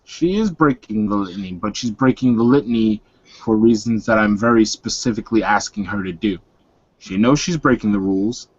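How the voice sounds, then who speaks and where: Serene, scheming male werewolf leader played by a man, low and deliberate, tabletop game, dry dull recording. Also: no echo, natural voice. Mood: argumentative.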